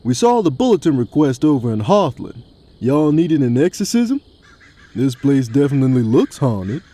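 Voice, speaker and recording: Man voicing a mellow, nervous dragonborn priest. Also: mid-range voice, sing-song, moderate pace. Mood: wary. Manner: nervous, colloquial, reluctant. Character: middle-aged, tall stocky dragonborn priest, cowardly. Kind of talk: tabletop roleplay audio drama.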